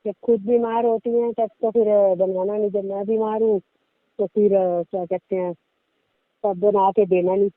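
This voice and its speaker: A woman's voice: medium pitch, steady tone, normal speed, dry recording, lightly acted. A young woman playing a married daughter who chats and complains casually in a staged phone conversation. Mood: aggrieved. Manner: complaining, chatty. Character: aggrieved young married daughter confiding in father. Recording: tinny, dry phone-call audio.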